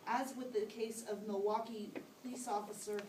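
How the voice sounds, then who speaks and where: A woman's voice: mid-range voice, steady tone, moderate pace, reverberant, distant mic, background noise, natural voice. A woman voicing a knowing, cynical ghost who explains things matter-of-factly in a puppet show. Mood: knowing, resigned. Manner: lecturing, explanatory.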